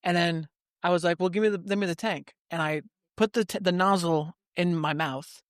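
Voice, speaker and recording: A man's voice: high voice, fairly even intonation, moderate pace, smooth, no echo, studio quality. A man co-hosting a podcast, telling rambling stories in casual chat. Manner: rambling anecdotes with fillers. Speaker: podcast co-host.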